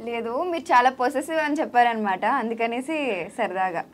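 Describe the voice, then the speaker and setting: A woman's voice: fairly high pitch, animated, a little fast, slight background noise. A woman working as an anchor, asking questions in a casual, chatty interview style.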